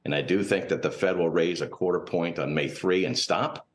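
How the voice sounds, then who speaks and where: A man's voice: sits low, normal speed. A man speaking as a guest financial analyst, giving unscripted, cautious and analytical commentary in a TV interview. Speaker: portfolio manager and finance professor, guest analyst.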